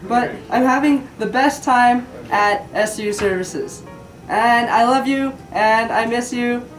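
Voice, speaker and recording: A woman's voice: a little high, slow pace. A woman reading a child's letter aloud. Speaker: staff member reading aloud. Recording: roomy distant mic with loud music. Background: loud guitar music.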